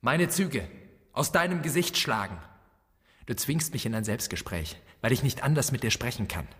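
A man's voice: medium pitch, animated, normal speed, a bit bright, husky. An actor playing a restless, resentful son who scornfully interrogates his dead father in a literary radio drama. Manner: probing, scornful. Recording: long reverberant hall sound.

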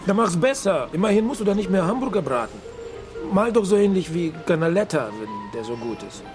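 An actor playing a plain-spoken, needling gift-shop owner in a German radio crime drama. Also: male voice, high voice, big pitch swings, fairly quick, over music.

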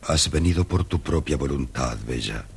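An actor playing a monstrous, lonely beast who rules a castle, speaking slowly and gravely in an old children's audio story. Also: male voice, exaggerated voice. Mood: courteous. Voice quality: very deep and husky. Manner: slow, grave, commanding delivery.

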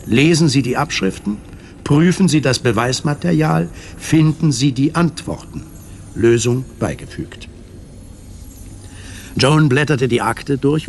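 A man reading scripted narration for a radio drama, measured and articulate. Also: mid-range voice, a little hiss or hum.

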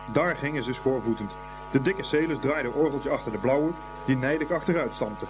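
A man's voice: medium pitch, normal speed, background noise. A man narrating a scripted story as the narrator of a radio play. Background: music.

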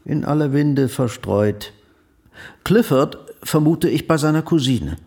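Husky, genteel gentleman. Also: a little high, animated, measured pace, full character voice. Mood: dry, resigned. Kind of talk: German radio drama acting.

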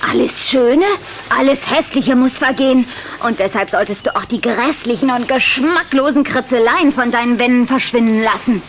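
A woman's voice: on the high side, moderate pace, a little hiss or hum, natural voice. Woman playing a vain, coquettish vampire girl. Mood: haughty.

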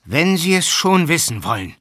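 A man's voice: medium pitch, lively intonation, measured pace, breathy edge, dry recording, clean studio sound. A man voicing a polite but stubborn, wrinkled and pious cousin who pleads and preaches in an audiobook. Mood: indignant preaching. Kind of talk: audiobook character acting.

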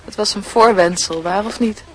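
A woman's voice: mid-range voice, normal speed, close to an everyday voice, rough recording. An actress playing a forthright young woman in a Dutch radio drama, blunt, teasing and insistent with her suitor. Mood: challenging. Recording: radio play with clear background noise.

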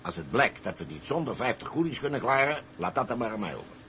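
An actor playing a boastful, wily older tugboat skipper with a salty, colloquial way of telling things. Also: male voice, medium pitch, big pitch swings, fast, fairly bright tone, slight background noise. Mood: scheming, insistent. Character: wily, boastful old colonial tugboat skipper, a persuasive schemer. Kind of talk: dramatised dialogue, storytelling in character.

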